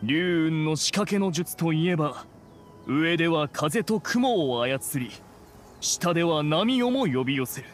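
A grave ancient immortal of a fantasy game, speaking slowly in solemn, declamatory, old-fashioned phrases. There is faint ambient noise behind him. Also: male voice, on the high side, expressive. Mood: solemn, admiring. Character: grave, dignified ancient immortal adeptus man. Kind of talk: dramatic game voice acting.

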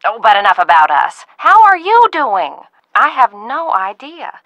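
Bright, animated, fussing aunt. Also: female voice, fairly high pitch, a little slow, no echo, exaggerated voice, low-quality recording. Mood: chatty. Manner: folksy, talkative, fond scolding. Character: fussing, chatty, motherly ranch-owner aunt. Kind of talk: video game voice acting, phone conversation.

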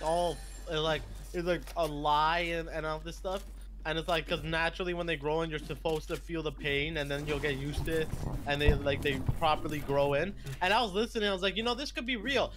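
Man, high voice, content creator, casual chat, rambling, amused storyteller. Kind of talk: casual chat while gaming.

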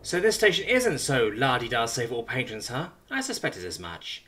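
A man voicing a defiant, sarcastic young woman held captive, raising his pitch and speaking quickly. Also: huge pitch swings, a bit bright. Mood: cocky.

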